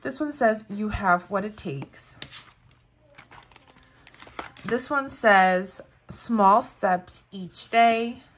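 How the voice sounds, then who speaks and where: A woman's voice: mid-range voice, slow, a little hiss or hum. A woman in her thirties with a Spanish accent, chatting casually and gushing over planner products, with lots of fillers. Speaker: planner vlogger. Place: indoors at a table, overhead camera on hands.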